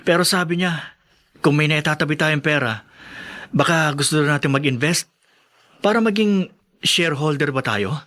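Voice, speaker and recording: Hopeful young worker, higher-pitched voice. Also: male voice, normal speed, pauses often, sharp tone. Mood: persuasive, hopeful. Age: young adult. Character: patient, hopeful young restaurant worker and friend. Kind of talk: Filipino radio drama acting.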